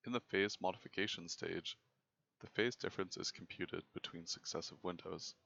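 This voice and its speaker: A young man narrates a technical presentation in a very low voice with a lot of fry. His delivery is measured and formal, and the recording sounds slightly dull and lo-fi. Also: pushed and bright. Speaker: presenter of a student software project.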